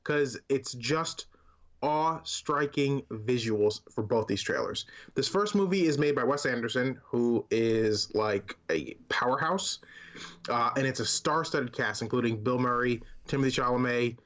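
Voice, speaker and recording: A man's voice: medium pitch, measured pace, fairly bright tone, highs cut off. A man co-hosting a podcast, chatting casually about movies in an enthusiastic, informal and emphatic way. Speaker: podcast co-host.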